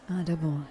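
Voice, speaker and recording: A woman's voice: low voice, mellow. A woman playing a wealthy, thousand-year-old vampire in an ASMR roleplay, speaking to the listener in a genteel, courteous yet condescending manner. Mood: generous, snobbish.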